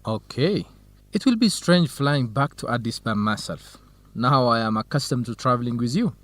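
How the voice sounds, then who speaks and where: An actor playing a kind, helpful young student researcher, speaking carefully and formally in a radio drama for English learners. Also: male voice, mid-range voice, lively intonation, moderate pace, a bit bright, dry recording, lightly acted. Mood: matter-of-fact. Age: young adult.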